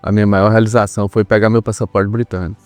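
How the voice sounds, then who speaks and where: A man's voice: on the low side, level tone, fast, a little mellow, dry recording, soft background music. A Brazilian man speaking as a podcast guest, telling his personal story in an earnest, reflective way and repeating himself for emphasis. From Brazil, small town.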